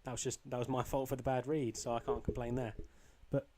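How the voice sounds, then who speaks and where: A young man with a slightly croaky voice, casually commentating his own football video game match, rambling with ums and restarts. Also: medium pitch, little pitch movement, brisk, darkish tone. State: a bit croaky. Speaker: gaming channel host.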